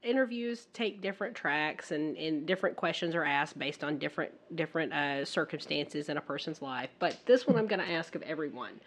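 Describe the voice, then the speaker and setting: A woman hosting a casual podcast interview, husky as she recovers from the flu, talking in a chatty, halting way with many restarts. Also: deep voice, expressive. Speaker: podcast host.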